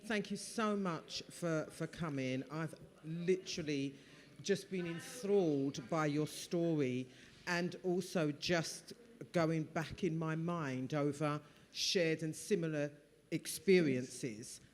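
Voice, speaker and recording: A man from the audience thanking the speaker and asking a question from the floor, warm and a little halting. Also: a little high. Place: event hall. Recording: long reverb of a hall.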